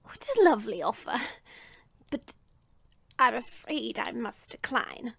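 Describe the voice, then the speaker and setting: Woman playing creaky, kindly old witch grandmother. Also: fairly high pitch, expressive, a little slow, fairly bright tone, dry recording, exaggerated voice. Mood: regretful. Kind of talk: fantasy audio roleplay acting.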